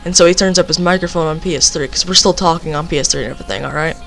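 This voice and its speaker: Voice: mid-range voice, rapid-fire, creaky, over music. A young person telling a casual, rambling story, leaning on filler phrases. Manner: rambling, repeats filler phrases like "basically" and "you know".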